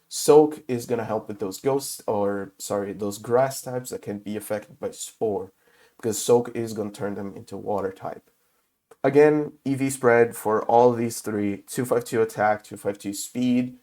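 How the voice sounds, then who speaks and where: A man who is sick with a cold, talking through a casual game tutorial in English, his second language, with many pauses and filler sounds. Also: lowish voice, warm tone. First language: not English. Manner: halting, many filler uhs. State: has a cold. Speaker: gaming video host.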